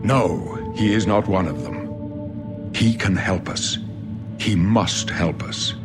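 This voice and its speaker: A man's voice: low-pitched, takes it slowly, over music. An older man playing a weary, solemn old emperor in a fantasy video game, speaking in a grave, prophetic manner. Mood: earnest. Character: weary, solemn old male emperor facing his death.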